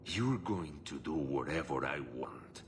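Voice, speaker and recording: A man's voice: extremely low pitch, expressive, a little slow, slight background noise. A man playing a domineering, leering slaver henchman in a video game, full of menace. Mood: leering, menacing.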